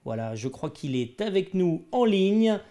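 A man's voice: sits high, sing-song, a little slow, warm tone. A man hosting an online show, enthusiastically interviewing a guest over a video call. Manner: enthusiastic, exclamatory. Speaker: show host. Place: indoor studio.

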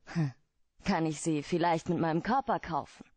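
Secretive femme fatale in a radio play, lowish dark soft-edged voice, pleading but guarded. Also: female voice, moderate pace, slightly rough, no room sound. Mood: pleading, seductive. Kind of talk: German radio play acting.

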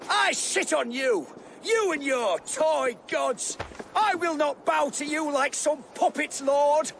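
An actor playing a proud, defiant middle-aged Saxon lord in a video game, with pompous, declamatory delivery. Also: male voice, very high-pitched, slow, gravelly, a bit bright, slight background noise, full character voice. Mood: defiant rage.

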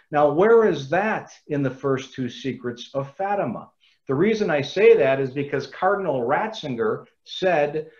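A man giving an earnest, measured talk on a video call, quoting sources at length. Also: mid-range voice, darkish tone, dull audio.

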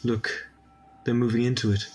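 Guy as resolute time traveller, mid-pitched voice, quick-witted, faint music behind, audio drama. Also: level tone, a little mellow, lightly acted. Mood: brisk, wry. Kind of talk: amateur audio drama acting.

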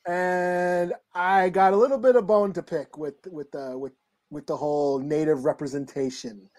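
A man co-hosts a podcast in a relaxed, halting way. He leans on fillers and restarts as he talks through an episode in easy conversation. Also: fairly high pitch. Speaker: podcast co-host. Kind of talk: casual chat, recapping a show.

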